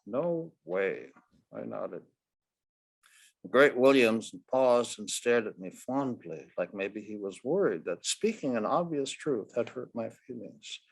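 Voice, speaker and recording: A man, an author, reads his short story expressively, with deliberate, dramatic phrasing and strong emphasis. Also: medium pitch, expressive, gravelly, breathy edge, poor audio. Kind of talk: expressive literary reading.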